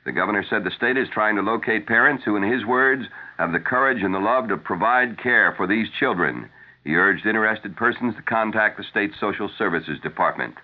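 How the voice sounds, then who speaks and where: An American man reading scripted news as a radio anchor on a 1970s broadcast. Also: fairly low pitch. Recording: thin narrow-band 1970s radio broadcast.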